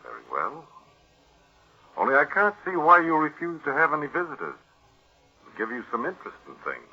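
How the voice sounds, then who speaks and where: An actor playing an earnest, formal family doctor in a 1940s radio drama, deferential but pleading. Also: male voice, fairly high pitch, wildly swooping pitch, brisk, pushed and bright, husky, full character voice. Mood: polite, curious. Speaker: physician. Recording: muffled vintage radio drama recording.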